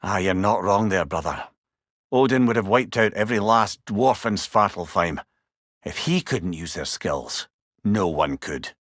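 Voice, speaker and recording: A man's voice: fairly low pitch, expressive, unhurried, a bit bright, dry recording, clean studio sound. A man voicing a wise, witty old Scottish advisor in a video game, with a wry, eloquent and rueful manner. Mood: grave, rueful. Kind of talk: video game character dialogue.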